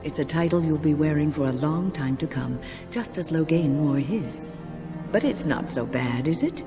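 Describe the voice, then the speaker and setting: An old female mage from a fantasy game, kindly and a little wry. She speaks reflectively and with measure, while music plays clearly behind her. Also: sits low, expressive, moderate pace. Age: older. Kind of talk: video game character dialogue.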